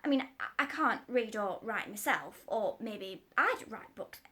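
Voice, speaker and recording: A woman's voice: on the high side, expressive, a little slow, a bit bright. A woman voicing a chatty working-class man who sells cat meat, rambling in folksy, stumbling talk. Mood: eager, wheedling.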